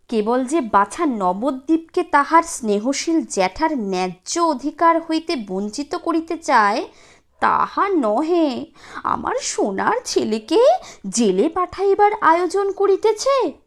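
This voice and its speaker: Woman acting a shrill, indignant scheming wife. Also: very animated, slow, a bit bright, exaggerated voice. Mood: tearful outrage. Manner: shrill, sarcastic, declamatory scolding. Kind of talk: dramatised storybook reading.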